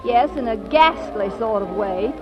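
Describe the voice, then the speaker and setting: Brisk sardonic wife, high-pitched, 1940s radio comedy, music underneath. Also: female voice, animated. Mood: wry, scheming. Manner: breezy, sardonic. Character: brisk, wry, scheming wife in a marriage of convenience. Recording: dull vintage radio recording, music behind.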